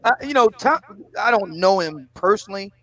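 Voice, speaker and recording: A man's voice: high-pitched, expressive, normal speed, bright, forceful tone. A man in his fifties with a Philadelphia accent, chatting casually and asking leading questions as a panel host. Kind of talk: casual livestream chat.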